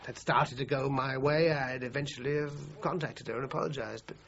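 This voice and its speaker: A man's voice: mid-range voice, fairly even intonation, a little fast, a bit bright, lightly acted. An actor playing a defensive, down-on-his-luck film composer in a radio crime drama, hesitant and prickly in his self-justification. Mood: subdued, regretful. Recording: muffled, noisy radio drama recording.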